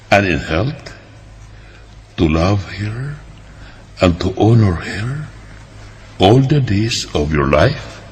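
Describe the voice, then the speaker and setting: A solemn radio-drama priest with a low voice. He intones the wedding rite very slowly and ceremonially, with wide swings of pitch, over audible background noise. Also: full character voice. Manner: slow, ceremonial, measured delivery. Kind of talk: Filipino radio drama acting.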